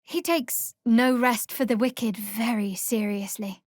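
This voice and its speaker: Fantasy game heroine: young cleric of a dark goddess, fairly high voice, sardonic, dry, guarded, studio-dry sound. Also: female voice, steady tone, no echo. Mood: wry, dry. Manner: measured, dry, wry delivery. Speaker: video game voice actor. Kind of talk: scripted fantasy game dialogue.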